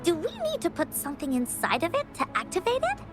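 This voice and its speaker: A woman's voice: very high-pitched, huge pitch swings, fast talker, over music, full character voice. A woman voicing a bubbly, brave little girl adventurer in an anime-style video game. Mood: curious.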